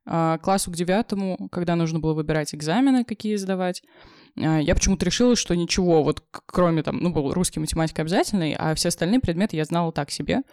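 A young woman, an English teacher, telling her story in a casual chat, with many fillers and quick laughs. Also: fairly low pitch, a little mellow, no echo, studio quality. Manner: fast, chatty, lots of fillers and laughs.

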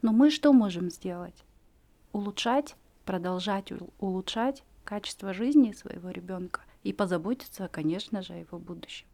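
A woman in her forties telling her personal story as a podcast guest, earnest and reflective, pausing often with fillers. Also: medium pitch, mellow.

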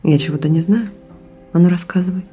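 Actress as a fast-talking, caring wife. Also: female voice, fairly low pitch, mellow, faint music behind, natural voice. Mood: probing, supportive. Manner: probing, supportive. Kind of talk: naturalistic audio drama dialogue.

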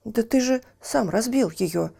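A woman's voice: fairly high pitch, animated, measured pace, gravelly, dark tone, slightly rough, heavily acted. A woman voicing an honest, stubborn orphan boy in a children's fairy tale, plain-spoken and defiant. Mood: firm, defiant.

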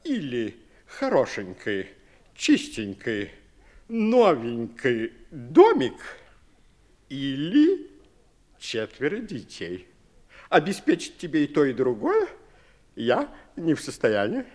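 An actor playing a harried, fussy father and businessman in a 1960s radio play, speaking with deliberate emphasis. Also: medium pitch, wildly swooping pitch, a little mellow, heavily acted. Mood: firm, exasperated.

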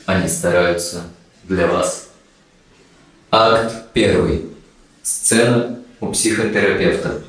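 A man's voice: low-pitched, slow pace, pauses often, a bit bright. Echoey, distant male theatre announcer. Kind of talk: formal scripted announcement. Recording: very echoey, distant, faint noise.

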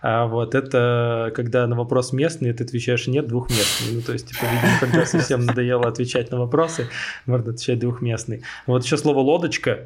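A male podcast host talks quickly in a middle-pitched voice. He asks questions and rattles through a list of notes in a casual, conversational way. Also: steady tone, noisy background. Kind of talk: casual chat.

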